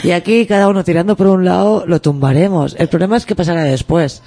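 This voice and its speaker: Radio lady chatting live in the studio, kinda gravelly, thinks out loud and trails off. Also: on the low side, quick pace, crowd noise. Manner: reflective, trails off with sighs. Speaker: radio co-host. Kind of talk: casual live radio chat.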